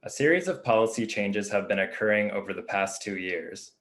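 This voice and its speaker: A man's voice: on the low side, animated, a little fast, fairly bright tone. A man on an educational panel reading a formal, scripted explanation.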